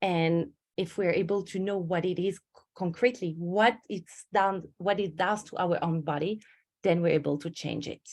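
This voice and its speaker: A woman's voice: on the low side, fairly even intonation, moderate pace, darkish tone. A woman, a stress management coach giving an online webinar, speaking in an earnest, personal way and often correcting herself mid-sentence. She speaks into a home computer mic on a video call. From Switzerland.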